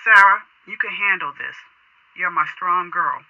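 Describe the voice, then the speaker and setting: A woman's voice: fairly low pitch, expressive, a little slow, sharp tone, dull audio, full character voice. An actress playing a middle-aged, loving, devout mother, tender and reassuring, through a telephone filter in an audio drama. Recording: thin dry telephone sound.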